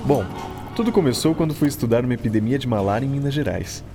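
An actor playing a modest, enthusiastic early twentieth-century scientist in a radio drama, with a Brazilian accent and a formal, didactic manner. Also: male voice, medium pitch, expressive, quick pace, over loud music, natural voice. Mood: eager explaining. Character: modest, enthusiastic early-1900s male scientist explaining discoveries. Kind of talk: educational radio drama acting. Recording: noisy ambience behind studio radio drama.

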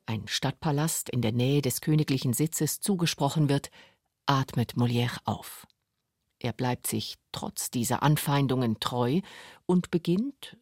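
A woman's voice: extremely low pitch, a little mellow, dry recording, studio quality. A woman narrating a scripted radio documentary.

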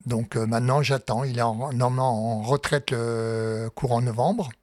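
A man speaking as a guest on a radio talk show, relaxed and conversational. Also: mid-range voice, normal speed, dry recording, studio quality. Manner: relaxed, conversational, short agreeing replies. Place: radio studio.

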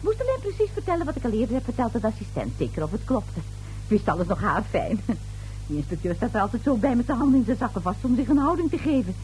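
A high-pitched, wildly animated gossipy neighbour in a radio drama. She prattles quickly and self-importantly, full of small indignation. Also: poor audio. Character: chatty, gossipy, self-satisfied female neighbour who drops in.

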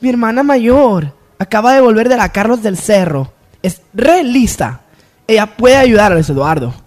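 A woman playing an expressive, meddling young woman in a parody radio soap. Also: a little high, normal speed, lightly acted. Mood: animated.

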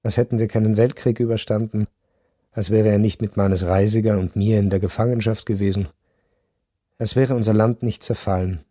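Actor as mellow, reflective male memoir narrator. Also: on the low side, steady tone, no echo, clean studio sound, lightly acted. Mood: wistful. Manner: measured, literary. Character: reflective Austrian former officer, melancholy memoir narrator. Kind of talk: scripted literary radio drama narration.

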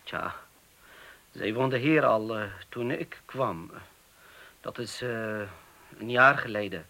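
A man's voice: mid-range voice, slow, gravelly, sharp tone, heavily acted. A man playing a polite, guarded young student from Turkey in a radio drama, speaking carefully and hesitantly with formal courtesy. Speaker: actor in a radio play. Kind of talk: naturalistic radio drama acting.

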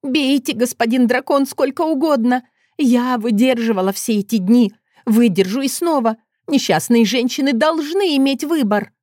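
A woman's voice: on the high side, moderate pace, darkish tone, no echo, studio quality, voice pushed up, lightly acted. A woman voicing a theatrical, defiant young woman who plays the wronged victim with pompous, exclamatory delivery in an audiobook story. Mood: melodramatic, indignant.

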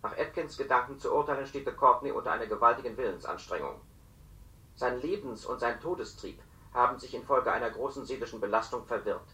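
An actor playing a cautious, grave telepathic informant in a radio play, speaking precisely and confidentially. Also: male voice, mid-range voice, fast, pushed and bright, lightly acted. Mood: grave, secretive. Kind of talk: German radio play acting. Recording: roomy, echoing radio studio.

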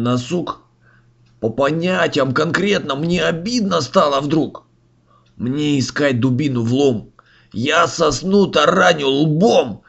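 Man voicing skinny stoned fairy-tale villain, raspy fry, slow slangy drawl, rhymed comedy, muffled audio. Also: mid-range voice, full character voice.

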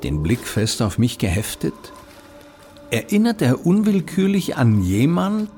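A restless, gaunt uncle with a sly, theatrical air. His mid-pitched voice swings widely as he halts over phrases in a radio play. There is faint noise behind him. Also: wildly swooping pitch, a little slow, mellow. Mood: ominous. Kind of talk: radio drama acting, narrating and speaking in character.

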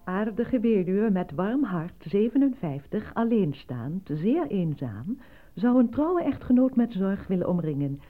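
Een actrice die in een hoorspel een omroepster speelt die formeel en weloverwogen berichten voorleest. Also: female voice, medium pitch, big pitch swings. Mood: measured announcing. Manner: deliberate, formal announcing. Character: measured female announcer reading slogans and lonely-hearts ads. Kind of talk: scripted announcement in a radio play. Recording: dry, narrow phone-like old recording.

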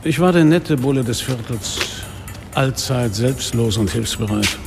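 An actor playing a weary, sardonic middle-aged police inspector in a noir radio drama, speaking drily and broodingly. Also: male voice, medium pitch, animated, a little slow, vocal fry. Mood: bitter, wry. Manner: dry, sarcastic, brooding. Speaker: actor, first-person narrator in a radio play. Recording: studio radio drama with music underneath.

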